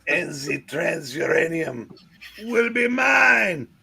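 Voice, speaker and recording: A man's voice: fairly high pitch, very animated, slow pace, gravelly, pushed and bright, full character voice. A man voicing a pompous, vengeful French villain with a French accent, grandiose and theatrical, reading a comic book aloud. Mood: gloating, grandiose. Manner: grandiose, theatrical, stilted phrasing. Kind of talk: hammy comic-book read-aloud performance.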